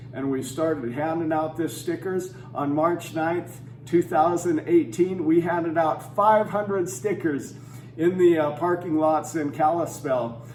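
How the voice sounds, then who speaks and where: A man's voice: fairly high pitch, darkish tone. Preachy male campaigner, distant and roomy. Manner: preachy, emphatic, religious conviction. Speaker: anti-legalization campaigner. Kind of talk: scripted campaign speech. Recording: roomy and distant with faint noise.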